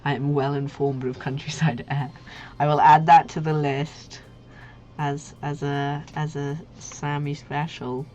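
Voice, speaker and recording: A woman's voice: deep voice, little pitch movement, unhurried, darkish tone. A young woman hosting a casual livestream, chatting in a goofy, giggly, slangy way. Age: young adult. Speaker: livestream host, artist. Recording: muffled lo-fi stream audio with music. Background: background music.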